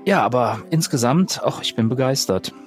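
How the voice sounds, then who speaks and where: Guy guest on rpg podcast, normal pitch, just chatting, bit of music behind. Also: expressive, normal speed. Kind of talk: casual chat.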